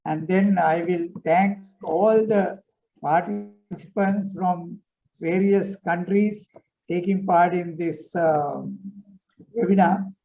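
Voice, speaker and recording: Sri man, high voice, dull call audio. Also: steady tone, gravelly, warm tone. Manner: unhurried, listing thanks with many fillers. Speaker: webinar participant giving vote of thanks. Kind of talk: formal vote of thanks on a video call. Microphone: video call.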